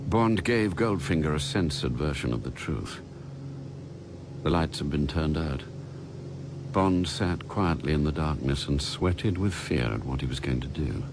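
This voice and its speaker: A man's voice: rumbling low, background noise. A man reading dramatic scripted narration as the narrator of a story. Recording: clear music under the narration.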